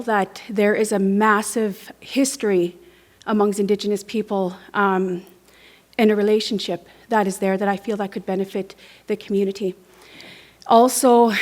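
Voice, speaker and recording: A woman with a northern Canadian accent makes an unscripted campaign speech at a forum. She talks haltingly at an average pitch, repeating words as she looks for the right phrase. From Northwest Territories, Canada. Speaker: political candidate, former legislator.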